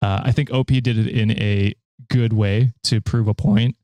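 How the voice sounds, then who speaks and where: A young man hosting a podcast, reading a story aloud and chatting about it in a relaxed, dry way. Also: fairly low pitch, moderate pace, dry recording. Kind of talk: story reading with casual chat.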